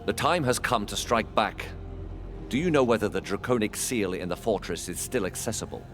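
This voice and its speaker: A man's voice: fairly low pitch, animated, moderate pace, fairly bright tone, soft background music. A man playing a grave, resolute war leader in a fantasy game, giving orders with solemn authority. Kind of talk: dramatic fantasy game cutscene acting.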